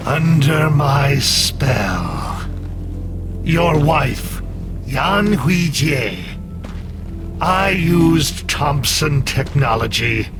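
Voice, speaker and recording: An actor voicing a coldly calculating artificial intelligence in a video game, measured, solemn and condescending. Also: male voice, mid-range voice. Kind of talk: dramatic video game cutscene acting. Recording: loud music under the voice.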